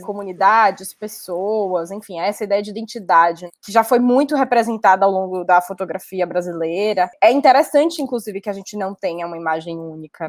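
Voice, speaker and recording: A woman researcher, giving reflective, analytical commentary. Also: medium pitch. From Salvador, Bahia, Brazil.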